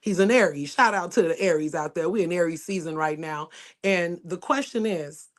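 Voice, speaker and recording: A woman's voice: fairly low pitch, moderate pace. A woman hosting a casual community panel over a live video call. Her talk is loose and rambling, and she restarts sentences as she goes. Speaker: panel host.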